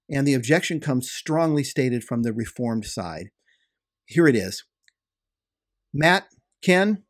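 A man co-hosting a podcast, earnestly explaining theology and restating his points for emphasis. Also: fairly high pitch. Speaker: podcast co-host. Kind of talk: theological conversation.

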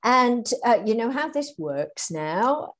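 A woman's voice: on the high side, very animated, takes it slowly. A woman hosting a podcast, asking questions in an encouraging, guiding way. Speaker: podcast host. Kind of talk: interview questions.

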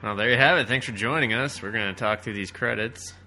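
A man's voice: on the low side, quick pace, bright, forceful tone. A man co-hosting a casual comic riffing commentary, with a sarcastic, crude joking manner.

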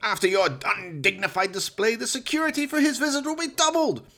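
A man's voice: extremely high pitch, a little fast, gravelly, bright, forceful tone, pitched above the performer's own voice, exaggerated voice. A man playing an evil scheming mad scientist in a horror radio play, theatrical, pompous and sneering. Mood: sneering rage. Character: evil scheming mad scientist doctor, mocking and prone to rage.

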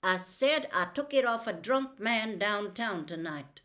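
A woman's voice: medium pitch, expressive, measured pace, fairly bright tone. A woman reading an audiobook, voicing a stubborn middle-aged male sheriff who talks bluntly in a drawling country way. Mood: pleading.

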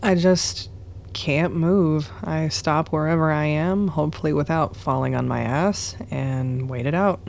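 Radio play role: a woman as an irreverent young fugitive, lots of vocal fry, sardonic and direct. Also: deep voice, measured pace, darkish tone, a little hiss or hum. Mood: frank. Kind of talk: radio drama acting.

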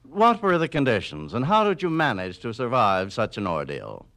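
A man's voice: medium pitch, wildly swooping pitch, moderate pace, fairly bright tone. A man interviewing in a formal 1940s radio style, with a dramatic, emphatic announcer's delivery.

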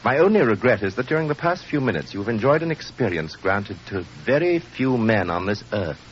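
An actor playing a haughty, sardonic, unrepentant aristocrat in a 1940s radio drama, with a dry manner. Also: male voice, medium pitch, very animated, fairly quick, natural voice. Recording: muffled, noisy old radio recording.

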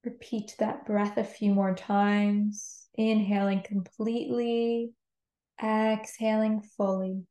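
Slow, mellow woman guiding meditation. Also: mid-range voice, little pitch movement. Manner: gentle, soothing instruction. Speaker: meditation guide. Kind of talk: guided meditation. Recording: roomy, echoing sound.

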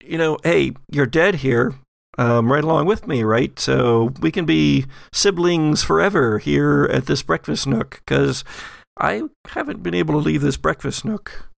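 Man playing a flippant, laid-back undead bro, gravelly. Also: a little high, unhurried, no room sound, clean studio sound. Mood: resigned joking. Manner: slangy, flippant, easygoing. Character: laid-back dead bro, talking severed head of corpse.

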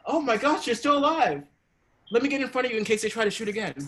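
A man's voice: very high-pitched, fast talker, a bit bright, pitched above the performer's own voice. A man improvising an oblivious, rambling, flustered newspaper editor in a comedy skit. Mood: frantic.

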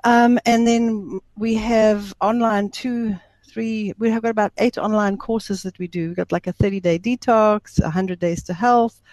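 A woman's voice: mid-range voice, steady tone, a little slow, a little mellow, no echo. Middle-aged South African woman, emphatic interview guest, very dry close sound. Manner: emphatic, talkative, explains at length. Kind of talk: interview conversation.

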